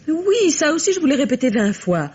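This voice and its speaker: A woman's voice: sits high, sing-song, brisk, a little mellow. An actress playing a defiant young murder suspect in 1950s radio mystery style, exasperated and flippant in her protests. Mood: exasperated, reproachful. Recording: dull vintage radio drama recording.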